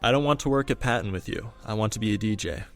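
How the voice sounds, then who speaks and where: A man's voice: lowish voice, fast talker, faint music behind, natural voice. A young man playing an aloof, romantic student who dreams of jazz radio, understated and wry, in an amateur radio drama. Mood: resolute, wistful.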